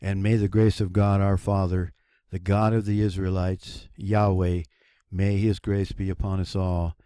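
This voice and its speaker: A man from the United States, hosting his own channel in a solo monologue, talking slowly and deliberately with a preachy emphasis and halting restarts. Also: low-pitched, flat delivery, warm tone, smooth, no room sound, clean studio sound. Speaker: channel host. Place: cold home running on a generator.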